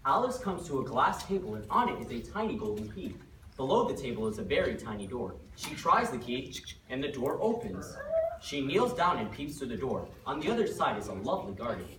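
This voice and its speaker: A woman's voice: a bass voice, very animated, a little fast. A woman reading scripted narration in an amateur readers theater performance. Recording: echoey room, distant mic, clear noise.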